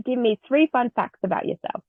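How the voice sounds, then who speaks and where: A woman hosting a casual interview in an excitable, giggly manner. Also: fairly high pitch, a little fast. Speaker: interview host. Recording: dull lo-fi audio, music behind.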